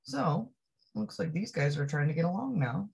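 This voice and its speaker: A woman reading a picture book aloud to young children, expressively, with drawn-out phrases and chatty asides that put questions to the listener. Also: sits low, lively intonation, gravelly, darkish tone, slightly rough. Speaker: storybook reader.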